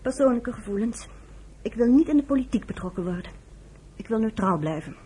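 A woman's voice: fairly high pitch, animated, fairly quick, warm tone, slightly rough. An actress playing a proud, outspoken American woman working as a medic in a radio play. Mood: curt, firm. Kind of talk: Dutch radio play acting. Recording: faintly noisy radio play recording.

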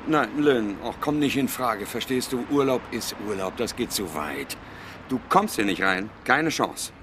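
An actor playing a brisk, dismissive hotel co-worker who speaks to the maid in short, offhand lines. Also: male voice, mid-range voice, lively intonation, natural voice. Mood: curt. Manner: curt, offhand. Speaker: colleague of the maid. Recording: noisy background ambience.

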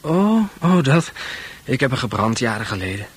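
A man playing a shy, gentle teenage boy in a radio play, speaking softly and awkwardly with a breathy, husky voice. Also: mid-range voice, very animated, moderate pace. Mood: bashful, evasive. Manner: hesitant, soft-spoken, awkward. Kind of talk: intimate Dutch radio play acting.

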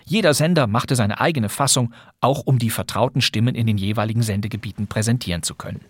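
A man's voice: mid-range voice, quick pace, no echo. Chatty male podcast host, close dry sound. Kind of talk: scripted presentation of classic radio plays.

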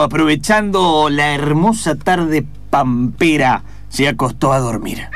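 High-voiced male radio play narrator. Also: background noise. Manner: dramatic, suspenseful.

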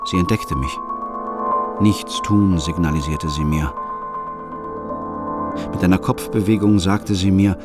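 A man's voice: low voice, measured pace, pauses often. An actor playing a bitter, secretive middle-aged father who narrates a radio drama in terse, brooding fragments. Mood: brooding, restrained. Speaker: first-person narrator and lead actor. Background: music.

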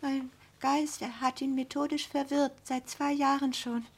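A woman playing a persecuted, confused wife near breakdown in a radio drama, halting and anxious. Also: high-pitched, little pitch movement, creaky. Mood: desperate. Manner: halting, anxious, repeating words. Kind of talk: German radio drama acting.

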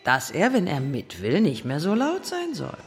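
An actress playing a brash, casual bank robber with a colloquial, teasing manner in a naturalistic radio drama. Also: female voice, sits low, wildly swooping pitch, moderate pace, full character voice. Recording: music behind the voice. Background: music.

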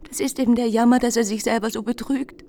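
Woman acting young rustic sweetheart, self-doubting, plaintive, slightly high pitch, hushed confidences, radio drama monologue. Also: fairly even intonation, fast, natural voice. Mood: plaintive, anxious. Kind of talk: literary radio play monologue.

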